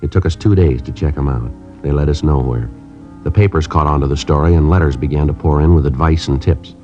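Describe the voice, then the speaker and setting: An actor playing a terse, methodical police sergeant in a 1950s radio crime drama, with clipped, flat questioning and narration. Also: male voice, very deep voice, fairly quick, faint music behind. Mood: narrating. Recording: dull old radio transcription.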